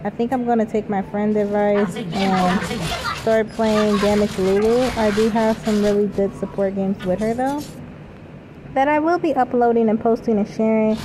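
A young woman narrates her gameplay in a quiet, soft-spoken voice, chatty and peppered with "like." Her mic sits right by her mouth. A clear hiss of background noise runs underneath. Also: mid-range voice, steady tone, normal speed. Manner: chatty, frequent "like" fillers. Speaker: gameplay commentator. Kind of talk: casual voice-over commentary. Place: lying down at home. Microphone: microphone held close to mouth.

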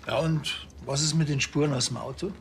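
An actor playing a sarcastic, deadpan village policeman with a Bavarian accent in a TV drama. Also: fairly high pitch, fairly quick, a little hiss or hum, close to an everyday voice.

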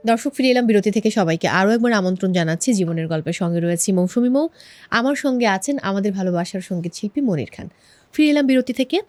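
A woman's voice: mid-range voice, expressive, fast talker, a little mellow, soft background music. A woman with a Bangladeshi accent hosting a television interview in a conversational presenting style. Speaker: talk show host.

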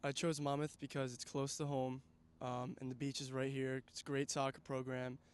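Flat, smooth-voiced young man. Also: medium pitch, moderate pace. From New Jersey. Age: young adult. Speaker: college soccer recruit. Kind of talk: casual on-camera interview. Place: outdoor soccer field. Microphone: handheld mic.

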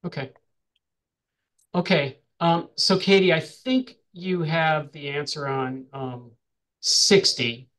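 A man with a fairly high-pitched voice chairs a virtual public hearing. He moderates in a procedural, conversational way, putting questions to others. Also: takes it slowly, fairly bright tone. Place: remote video call.

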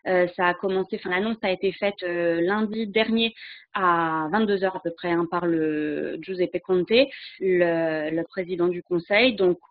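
A young woman reporting as a correspondent over a video call, measured and hesitant, from a room lined with bookshelves. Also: fairly low pitch, fairly even intonation, no room sound. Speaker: correspondent in Italy. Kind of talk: remote news report. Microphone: video call webcam. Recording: dry, phone-like audio.

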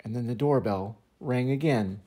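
An older man reading a children's picture book aloud as an expressive storyteller. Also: mid-range voice, moderate pace, a little hiss or hum. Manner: expressive, acts out sound effects and voices.

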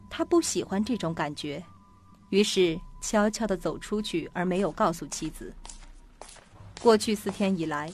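A woman narrates a scripted suspense audio drama. Her voice sits at a medium pitch, with faint music playing underneath. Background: background music.

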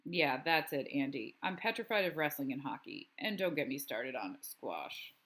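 A woman's voice: a bass voice, lively intonation, moderate pace, a bit bright, voice pushed down, full character voice. A woman voicing a serious, clever schoolboy in a storybook, with a dry, challenging manner. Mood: sarcastic.

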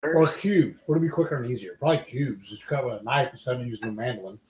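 A man in his thirties, hoarse from being sick, chatting easily while cooking and eating live at home. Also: mid-range voice, fast talker. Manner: easygoing, chatty. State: hoarse from illness. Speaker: livestream co-host. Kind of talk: casual live cooking chat. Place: home kitchen. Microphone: fixed camera mic at the table. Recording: echoey kitchen room.

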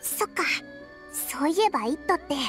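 A small, inquisitive fairy companion from a video game. The voice is tiny and very squeaky, animated and childlike, with faint music behind it. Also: female voice, fairly quick. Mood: curious, pondering. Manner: squeaky, animated, boyish blunt phrasing. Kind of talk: video game cutscene acting.

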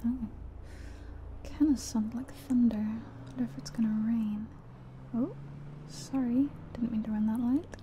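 A soft-spoken woman doing an ASMR roleplay as a friendly, chatty taxi driver, in a gentle, whispery delivery. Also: a little high, fairly even intonation, measured pace, pauses often. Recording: faint background noise of game car sounds. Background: car sounds from a driving game.

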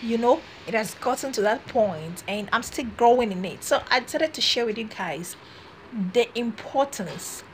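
A woman's voice: on the high side, a little hiss or hum, rough recording. A young woman vlogging to her phone camera from a small room, chatting in a casual, encouraging way and often restarting her phrases. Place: small room indoors, seated on a red couch. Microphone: phone camera at arm's length.

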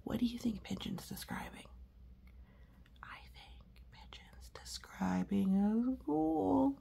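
Reassuring woman with a creaky, husky voice. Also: a little high, animated, takes it slowly, warm tone, whispered. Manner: reassuring, explanatory. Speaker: storybook reader. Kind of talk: read-aloud commentary for young children.

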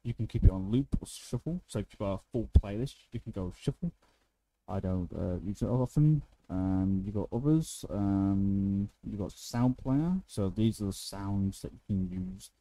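Very dark-voiced man, low and casual. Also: moderate pace, slight background noise, low-quality recording. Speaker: tutorial presenter. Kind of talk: casual software tutorial, unscripted.

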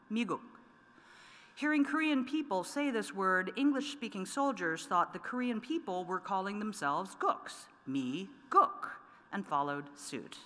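Woman, middle-aged, poet, lectern mic, measured deliberate reading, lively rising and falling pitch, long hall reverb. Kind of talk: poetry reading. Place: large wood-paneled auditorium, at a lectern. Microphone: lectern microphone.

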